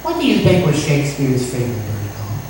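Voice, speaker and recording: A woman playing a self-important male talk show host obsessed with birds, pompous and deliberate, in old-time radio comedy style. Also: rumbling low, wildly swooping pitch, exaggerated voice. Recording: echoey room, distant mic, faint noise.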